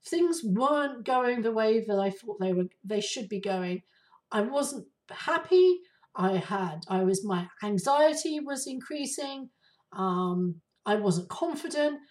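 A woman hosting her own podcast, her voice raspy and hoarse from flu, talking casually with many ums and uhs. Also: a little high, animated, takes it slowly, darkish tone, distant mic. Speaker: podcast host. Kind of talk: casual solo podcast talk.

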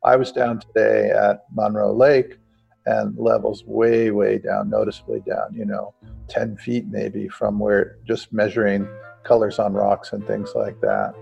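A low-voiced American man discusses environmental news as a podcast co-host, with a gravelly, husky delivery. He qualifies and corrects himself as he goes. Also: a little slow, faint music behind. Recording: dull, muffled lo-fi audio.

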